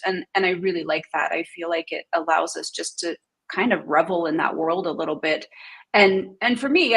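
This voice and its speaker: A woman's voice: lowish voice, a bit bright, poor audio. A woman panelist giving her opinions in a conversational way. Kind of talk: casual opinion in panel discussion.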